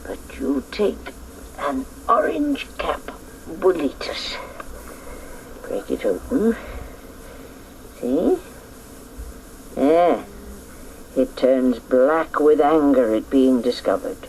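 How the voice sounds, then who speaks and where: An actor playing a wise, cryptic old woman in a radio drama, musing and measured. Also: medium pitch, sing-song, darkish tone, a little hiss or hum, heavily acted, low-quality recording. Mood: patient, teaching. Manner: measured, aphoristic, musing hums.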